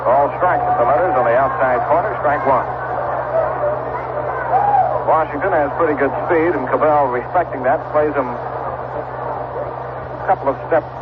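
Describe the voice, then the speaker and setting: A man's voice: on the high side, unhurried, pauses often, phone-quality sound, heavy background noise. A man calling baseball play-by-play as a radio announcer on a vintage 1970s broadcast. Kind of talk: live radio sports commentary. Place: outdoor ballpark.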